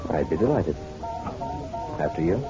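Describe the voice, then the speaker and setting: An actor playing a suave, hard-boiled private detective in a radio drama, measured and courteous with a dry edge. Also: male voice, sits low, big pitch swings. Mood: polite, curious. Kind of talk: noir radio drama acting and narration. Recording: dull radio-drama recording, music behind.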